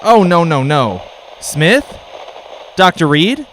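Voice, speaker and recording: A man's voice: fairly high pitch, big pitch swings, slow, fairly bright tone, a little hiss or hum, heavily acted, poor audio. A man playing a folksy, eager real estate agent with persuasive patter in a 1940s-style radio drama. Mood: puzzled, uneasy.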